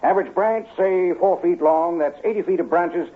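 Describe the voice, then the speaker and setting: Casting: man to play a calculating lot salesman, formal and deliberate, vintage radio comedy, narrow tinny recording. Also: high voice, natural voice. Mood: smooth, calculating. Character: slick, calculating Christmas tree lot salesman. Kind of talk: 1950s radio comedy acting. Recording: tinny, band-limited 1950s radio recording.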